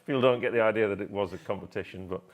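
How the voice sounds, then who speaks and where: A man co-hosting a live show, easygoing and a bit halting. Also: lowish voice, muffled recording. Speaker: co-host.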